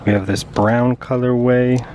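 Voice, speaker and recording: A man's voice: mid-range voice, fairly even intonation, unhurried, a little mellow. Young male vlogger, casual reseller chat, noisy car-and-street ambience. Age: young adult. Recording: clear outdoor and vehicle noise.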